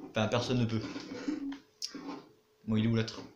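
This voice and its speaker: A man's voice: lowish voice, monotone, moderate pace, darkish tone, reverberant, lo-fi sound, a little hiss or hum. A young man giving casual commentary over his own gameplay, chatty, muttering to himself and swearing now and then. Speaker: gaming YouTuber.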